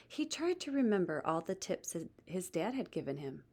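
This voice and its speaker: A woman reading a children's book aloud as expressive scripted narration. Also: fairly low pitch, moderate pace, slightly rough. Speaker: storybook reader.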